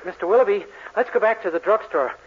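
High-voiced, fast-talking naive young fellow. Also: male voice, breathy edge. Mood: earnest. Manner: earnest, folksy. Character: naive, earnest young soda jerk, easily riled. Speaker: sitcom lead. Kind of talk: 1940s radio comedy acting. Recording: muffled vintage 1940s radio recording.

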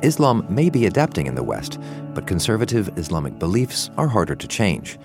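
A man with a deep voice reads scripted narration for a news podcast. His pitch moves in a lively, expressive way, and music plays clearly behind him. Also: brisk.

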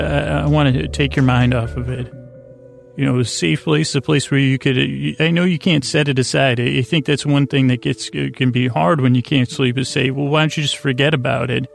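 A man with a creaky, gravelly voice, hosting a bedtime podcast in a rambling, halting monologue that wanders off into tangents and stumbles over words. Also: a little high. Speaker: podcast host. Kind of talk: rambling bedtime monologue to lull listeners to sleep.

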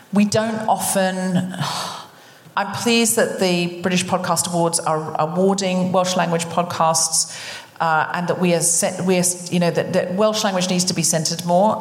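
A woman hosting a live podcast, chatting casually and giving her opinions in a conversational, stop-and-start way. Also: lowish voice. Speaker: podcast host. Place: indoor live venue stage. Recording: reverberant live hall with audience.

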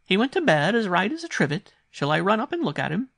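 A man's voice: high voice, very animated, a little fast, gravelly, pushed and bright, dry recording, studio quality, pitched above the performer's own voice, full character voice. A man voicing a startled, obliging female nursery governess, deferential and reassuring, in a story reading. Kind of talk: dramatised audiobook reading.

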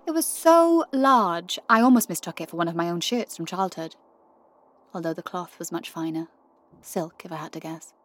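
A woman playing a wry, wistful giant from the country in a first-person audio drama monologue, telling her story expressively with hushed, confiding asides. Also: fairly low pitch, normal speed. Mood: wondering.

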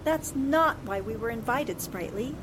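Woman acting a poised, bright-pitched lady reporter. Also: lively intonation, measured pace, noisy background. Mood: wry. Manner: polite, composed, dry. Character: poised, polite, sensible newspaperwoman sleuth. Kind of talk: radio drama acting in 1940s manner.

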